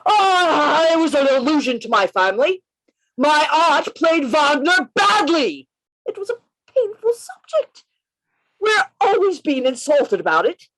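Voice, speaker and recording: Woman playing a very animated, theatrical gentleman adventurer. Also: high voice, a little slow, exaggerated voice, low-quality recording. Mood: mock indignant. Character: bold, theatrical gentleman adventurer narrating his own tale. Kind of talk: radio play reading, first-person narration. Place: remote reading over a video call.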